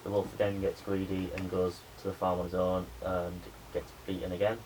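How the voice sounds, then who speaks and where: A young adult man, a media student giving a spoken pitch presentation to a class. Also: completely flat delivery, fairly quick, darkish tone. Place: indoor room with a small audience. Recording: noisy room recording.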